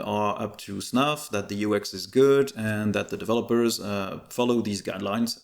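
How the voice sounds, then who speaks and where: A man with French as his first language talks as a guest in a casual interview. His voice sits fairly low with a strong creaky edge, and he explains things in an easygoing, detailed way. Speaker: interview guest, tech YouTuber.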